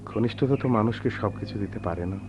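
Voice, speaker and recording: A man's voice: fairly low pitch, quick pace, natural voice. An actor playing a melancholy young man who lost a leg, speaking poetically in a radio drama. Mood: bitter, melancholy. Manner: wistful, poetic, theatrical delivery. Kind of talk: Bengali radio drama acting. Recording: dull, noisy old radio drama recording.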